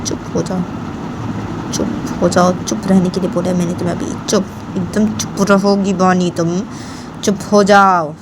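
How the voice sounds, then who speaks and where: A curt, angry young wife ordering silence in clipped, low-set phrases, as a woman narrator puts her on. Her voice stays close to the teller's own low tone, with traffic noise behind. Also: little pitch movement, a little slow. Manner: curt commands.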